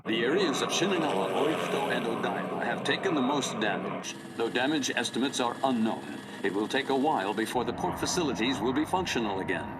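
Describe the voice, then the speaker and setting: An actor playing a sober male news reporter, speaking in a measured, formal way in a video game news report. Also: mid-range voice, animated, natural voice. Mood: grave, measured. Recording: outdoor city and vehicle noise behind.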